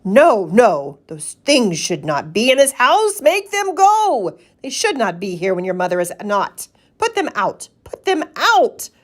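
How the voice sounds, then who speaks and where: A woman's voice: fairly high pitch, wildly swooping pitch, a little slow. A woman voicing a fussy, worried pet fish who scolds insistently in a children's storybook read-aloud. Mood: alarmed, insistent.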